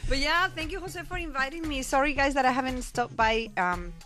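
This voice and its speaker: A woman from Spain with a Spanish accent, a guest journalist chatting casually, lively and quick to laugh, often repeating words. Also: high voice, faint music behind. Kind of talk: casual chat.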